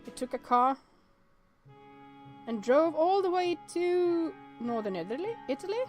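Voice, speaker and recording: A woman hosting a let's-play of a visual novel, reading the text aloud with expressive, exclamatory reactions and the odd stumble. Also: sits high, unhurried, soft background music.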